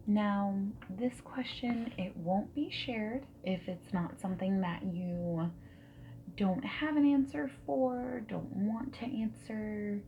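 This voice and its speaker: A woman speaking softly and breathily in an ASMR roleplay, often slipping into whispers as she asks gentle, reassuring questions like a friendly interviewer. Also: mid-range voice, slow, highs cut off. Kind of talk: soft-spoken ASMR roleplay. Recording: steady noise, keyboard typing and clicks. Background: mechanical keyboard typing and clicks.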